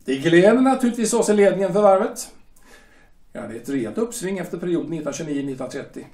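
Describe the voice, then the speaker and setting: A man acts out a polished shipyard director in an audio drama, with a fairly high, lively voice. He speaks measured and slow, with hesitant pauses that hint at a threat. The voice sounds set back from the mic in an echoey room. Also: distant mic. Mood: cool, probing. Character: smooth, veiled-threatening male shipyard director. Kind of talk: amateur audio drama acting.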